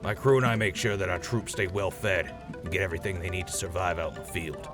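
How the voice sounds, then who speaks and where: A man's voice: low-pitched, steady tone, quick pace, music in the background, lightly acted. A man in an audio drama playing a proud, matter-of-fact army cook sergeant giving an interview. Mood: earnest.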